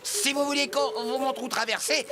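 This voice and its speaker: A woman's voice: high-pitched, steady tone, moderate pace, close to an everyday voice. A woman voicing a small prankster otter in a cartoon, with a cheeky, teasing, impish manner. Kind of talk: dubbed cartoon acting. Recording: loud music under dialogue.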